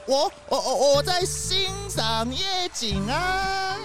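A woman's voice: very high-pitched, very animated, slow pace, loud music behind the voice, heavily acted. A woman voicing a shifty troupe leader who stammers when caught, in a children's audio drama. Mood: sly. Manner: sly chuckle, stammering.

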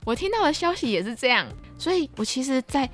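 A woman's voice: high-pitched, fast talker, soft background music. A young adult woman with a Taiwanese Mandarin accent, chatting casually and expressively as a podcast speaker. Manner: expressive, exclamatory. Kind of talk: casual chat.

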